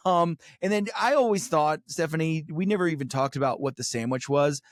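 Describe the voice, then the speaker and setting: A man's voice: a little high, normal speed, no room sound, clean studio sound. A man co-hosting a podcast with casual comedic banter, sarcastic, crude and given to riffing. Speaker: true crime podcast co-host.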